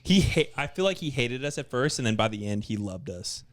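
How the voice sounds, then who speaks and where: A young man co-hosting a podcast, talking loosely and laughing a lot. His swearing and his "dude" and "bro" come easily. His pitch sits mid-range and swings widely as he jokes. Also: big pitch swings, a little fast. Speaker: podcast co-host. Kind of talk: casual chat.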